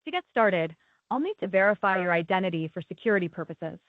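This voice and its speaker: A woman's voice: medium pitch, expressive, fast, dry recording. A woman's synthetic voice working as a polite, procedural automated customer service agent, speaking scripted prompts. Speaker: automated claims phone agent. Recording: narrow tinny phone-like sound.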